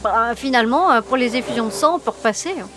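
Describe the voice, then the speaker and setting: A no-nonsense military leader, acted by a woman, speaks in a high voice. She is commanding, brisk and deadpan, as in a comic sci-fi radio play. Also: music in the background, natural voice. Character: bossy, no-nonsense woman lieutenant leading a squad. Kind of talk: comic sci-fi audio drama.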